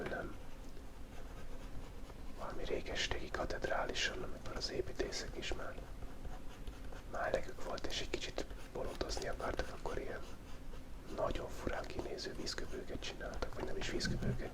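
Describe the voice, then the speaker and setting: An ASMR creator whispering breathily in a playful, musing way while narrating a drawing. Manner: playful, joking, musing aloud. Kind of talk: whispered ASMR, rambling casual drawing commentary. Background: writing and drawing sounds, keyboard clicks.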